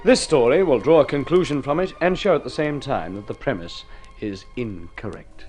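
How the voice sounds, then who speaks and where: A man's voice: medium pitch, animated, normal speed, a little mellow, close to an everyday voice. A man narrates an old radio drama in a formal, theatrical storyteller's style, with an Australian accent. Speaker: narrator and presenter. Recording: vintage radio recording with music.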